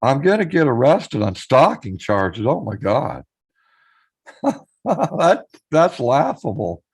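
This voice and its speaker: A man with a hoarse voice, chatting casually on his live stream, greeting viewers by name and reading out their messages. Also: medium pitch, huge pitch swings, slow pace.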